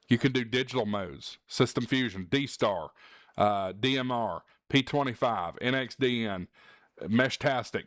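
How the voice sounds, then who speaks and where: Guy doing a candid advice vlog, plain spoken and earnest, medium pitch, dry sound. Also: no echo. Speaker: YouTube channel host.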